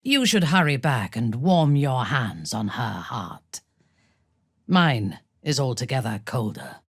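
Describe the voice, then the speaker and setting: An imperious dark elf commander from a fantasy game. Her voice is low and husky, close and dry, and she speaks deliberately with haughty menace, her cold lines swinging widely in pitch. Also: sing-song, no room sound, full character voice. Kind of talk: dramatic video game acting.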